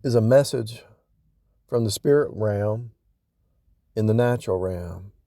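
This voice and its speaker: A man's voice: on the low side, unhurried, dark tone, no echo, clean studio sound. A man giving casual, off-the-cuff spiritual teaching in a rambling way, repeating and rephrasing himself for emphasis. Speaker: religious vlogger.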